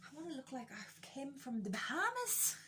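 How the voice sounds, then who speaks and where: A woman's voice: high voice, very animated, normal speed, noisy background. An Irish woman chatting casually through a makeup tutorial, candid, joking and self-deprecating, with a bit of swearing. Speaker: beauty vlogger doing a makeup tutorial.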